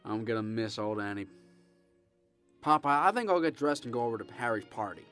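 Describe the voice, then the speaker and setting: An actor playing an eager, restless young small-town man in a radio drama, earnest and halting. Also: medium pitch, expressive, fairly bright tone, smooth, faint music behind, close to an everyday voice. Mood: fond. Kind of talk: old-time radio drama acting.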